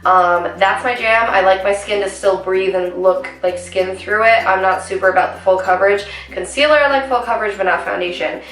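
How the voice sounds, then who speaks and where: A woman's voice: mid-range voice, a little fast, hardly any pauses, fairly bright tone, distant mic, a little hiss or hum. A young woman, chatting casually through a makeup tutorial with lots of ums and asides. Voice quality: raspy. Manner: chatty, rambling with many ums. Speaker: beauty vlogger. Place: small room indoors at home. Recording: roomy echo, voice a bit off-mic, faint music.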